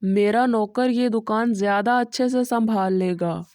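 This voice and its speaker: A demanding rich gentleman in a cartoon story, voiced by a woman, blunt and complaining. The tone is mellow and the sound dry. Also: a little high, measured pace, no echo, natural voice. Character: rich male customer, demanding, matter-of-fact.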